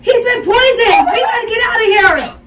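A woman's voice: lively intonation, moderate pace, fairly bright tone, reverberant, far from the mic, a little hiss or hum. A woman voicing a frantic, loyal maid who shrieks and cries out in panic. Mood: panicked. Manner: shrill and panicked, crying out.

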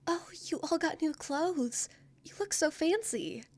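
A woman playing a shy, anxious, gentle young woman in a fantasy audio drama, speaking softly and hesitantly with a stammer. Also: high-pitched, animated, unhurried, no room sound. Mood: touched, bashful. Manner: hesitant, stammering, soft-spoken.

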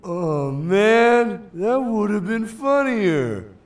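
A man's voice: high voice, very animated, drawn-out words, full character voice. A man voicing a dopey, dazed hippie gym leader in a cartoon parody dub, drawling and easily distracted. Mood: dazed, amused.

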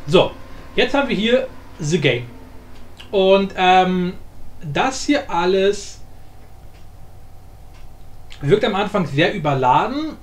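A man giving a casual software tutorial in an informal, blunt, rambling way. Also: sits high, very animated, slow pace, pauses often. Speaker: tutorial presenter. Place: indoor room, voice-over for screen recording. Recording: roomy echo, light background noise.

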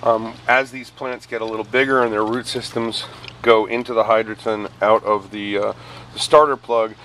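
A man's voice: on the low side, flat delivery, normal speed, a bit bright, a little hiss or hum, rough recording. A middle-aged man recording outdoors, casually explaining a hydroponic bucket setup with lots of ums and uhs as he films. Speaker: hydroponics reviewer. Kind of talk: casual how-to walkthrough. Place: outdoors, beside buckets on pavement.

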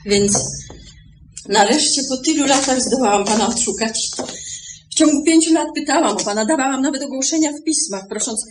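A woman's voice: high voice, normal speed, lightly acted. A woman acting in a radio play as a courteous, gracious hostess, polite and formal with her visitor. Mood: welcoming, courteous. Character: courteous, gracious lady hostess receiving a long-sought visitor. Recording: noisy 1970s radio recording.

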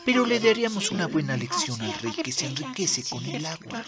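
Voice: medium pitch, very animated, fairly quick, over a noisy crowd, natural voice. A performer voicing a cocky, bratty young demon prince in a manga dub, with a swaggering, curt manner. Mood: curious, dismissive. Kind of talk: animated manga dub acting.

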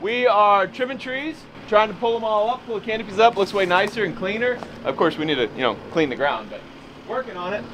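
A man's voice: high-pitched, expressive, brisk, a bit bright, echoey room, a little hiss or hum. A man in his thirties giving a casual, joking walkthrough vlog of an old stone house, recorded on a camera mic. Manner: upbeat, joking, wry. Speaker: vlogger.

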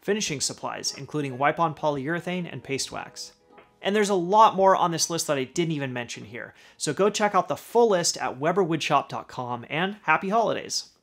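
A man's voice: on the high side, lively intonation, normal speed, creaky, fairly bright tone, soft background music. Upbeat man running down a tool list. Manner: upbeat list-style delivery, dropping at the end of each item. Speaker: woodworking channel host. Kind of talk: scripted product rundown. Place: home garage workshop.

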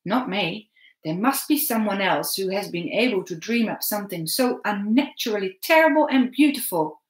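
A woman's voice: expressive, moderate pace, lightly acted. Woman voicing a frightened young boy. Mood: wondering. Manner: high, pleading, fearful. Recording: roomy echo.

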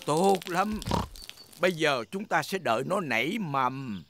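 A man's voice: fairly high pitch, animated, measured pace, creaky. A man voicing a jolly, patient old grandpa pig who explains kindly in a dubbed preschool cartoon. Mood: kindly explaining. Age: older character. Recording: music under the voice.

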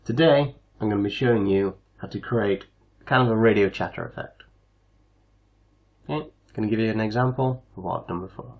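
A man hosting a casual software tutorial, explaining things step by step. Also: lowish voice, fairly quick, highs cut off. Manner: step-by-step instructional. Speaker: tutorial host.

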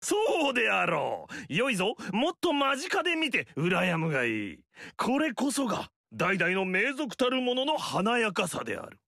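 An actor playing a pompous, vain noble warlord in a video game, speaking haughtily. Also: male voice, high-pitched, wildly swooping pitch, moderate pace, creaky, hardly any pauses, bright, forceful tone, no echo, exaggerated voice. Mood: boastful. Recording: dry studio recording.

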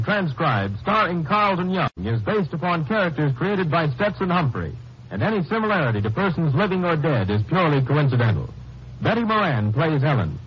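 A man's voice: a little high, slightly rough. A man reading a scripted announcement in the style of a 1950s radio announcer. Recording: dull old radio recording.

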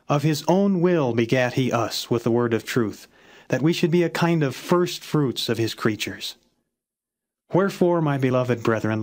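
A man reading scripture as a non-dramatized audiobook, with measured, solemn and formal phrasing. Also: medium pitch. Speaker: Bible reader, narrator.

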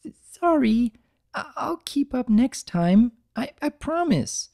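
A narrator voicing a timid, kindly young female pony with an anxious, apologetic, halting manner. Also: high voice, very animated, vocal fry, mellow, pitched above the performer's own voice, exaggerated voice. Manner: stammering, apologetic.

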